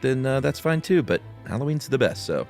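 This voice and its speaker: A man co-hosting a podcast, telling horror stories in an expressive, theatrical way and chatting casually. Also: medium pitch, a little fast, creaky. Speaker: podcast co-host. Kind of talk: dramatic reading of a horror story and casual chat. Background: music.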